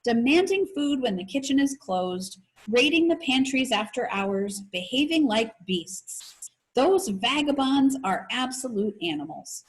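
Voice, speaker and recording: A woman's voice: high voice, big pitch swings, a little slow, pitched above the performer's own voice, poor audio. A woman voicing a friendly, easily exasperated male chef and father from a children's book, chatty and emphatic.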